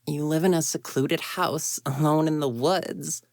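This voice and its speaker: A woman's voice: low-pitched, steady tone, a little slow, darkish tone, no room sound, clean studio sound. A woman voicing a grumpy, proud young female ghost in an intimate roleplay audio drama, huffy and easily flustered. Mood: indignant, incredulous. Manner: huffy, indignant, flustered stammers, conversational.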